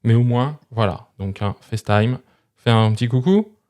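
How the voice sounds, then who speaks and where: A man's voice: mid-range voice, normal speed, darkish tone, clear, resonant tone. A man hosting a tech review, explaining gear casually and conversationally while speaking straight to his viewers. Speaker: host of a tech review channel. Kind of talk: casual product demonstration to camera.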